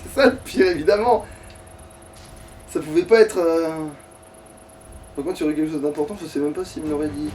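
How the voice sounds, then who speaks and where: A man's voice: on the high side, fairly quick, long pauses, a little mellow, recorded from a distance, a little hiss or hum. A man giving casual gaming commentary to a co-player, foul-mouthed and grumbling. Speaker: let's player.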